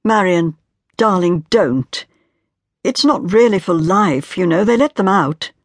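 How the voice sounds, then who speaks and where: Woman reading as a soft consoling young friend, soothing, dry close sound. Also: on the low side, animated, slow, dry recording, pitched above the performer's own voice. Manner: soothing, pleading. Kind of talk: audiobook character voice.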